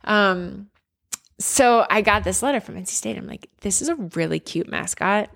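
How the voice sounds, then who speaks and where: A woman from near Philadelphia talks candidly in an interview, reflecting on her past with plenty of fillers and self-corrections. Her voice sits at an ordinary pitch with a noticeable gravelly fry. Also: animated, moderate pace, a bit bright. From United States, near Philadelphia. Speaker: guest, former college basketball player.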